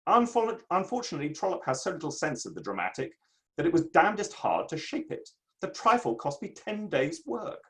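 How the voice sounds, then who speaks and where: A man's voice: fairly high pitch, very animated, brisk, a bit bright. A man playing a pompous, self-satisfied Victorian playwright in a theatrical dramatic reading. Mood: breezy, boastful. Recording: online reading from home setup.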